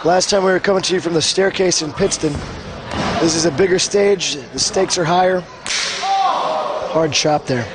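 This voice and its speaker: A young man, a wrestler, doing color commentary at a wrestling show, hoarse from screaming and joking around. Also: high voice, normal speed, long pauses. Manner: joking, excitable, rambling. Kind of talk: live play-by-play commentary. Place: wrestling arena commentary desk. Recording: loud arena crowd and noise.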